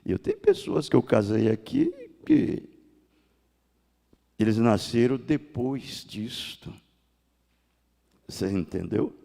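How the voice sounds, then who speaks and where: Gravelly older Brazilian preacher in a reverberant church. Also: male voice, mid-range voice, huge pitch swings, takes it slowly, pauses often. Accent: Brazilian Portuguese. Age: 60s. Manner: preaching that surges to loud cries then drops to hushed tones. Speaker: pastor. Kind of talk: sermon. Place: church sanctuary. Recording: long church hall reverb.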